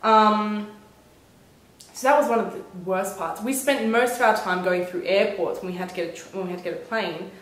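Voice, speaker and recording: A young woman chatting casually as a travel vlogger, telling stories in an animated way and acting out bits of dialogue. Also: mid-range voice, brisk. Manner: animated storyteller, acts out reported dialogue. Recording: roomy echo.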